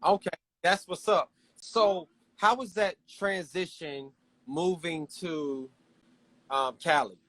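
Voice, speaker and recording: A man's voice: on the high side, slow, fairly bright tone, dry recording, low-quality recording. A man hosting a casual interview, friendly and hyped, speaking in slang. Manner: friendly, hype, uses slang. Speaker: interview host.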